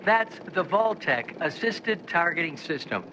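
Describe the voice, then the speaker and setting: An actor playing a confident retro corporate pitchman, chipper and polished in a scripted promotional style. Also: very animated, measured pace, noisy background. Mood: upbeat, selling. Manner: polished, salesman-like.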